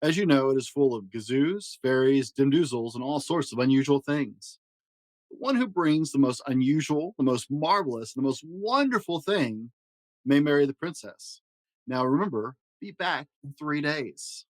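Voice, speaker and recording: Wise little storybook king, read by a man, solemn and measured, proclaiming orders, mid pitch, dry recording. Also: animated, dry recording, natural voice. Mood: solemn, instructing.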